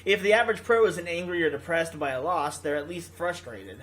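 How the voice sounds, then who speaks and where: A gaming video host who reads a visual novel aloud with casual, goofy, excitable asides. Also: medium pitch, fairly quick. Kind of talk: casual playthrough commentary and read-aloud.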